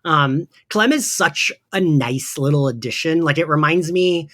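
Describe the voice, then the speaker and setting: A man's voice: a little high, expressive, measured pace, fairly bright tone. A male podcast host talks through a pop-culture recap in a casual way. He rambles chattily from point to point, dropping slang and the odd swear word into his sentences.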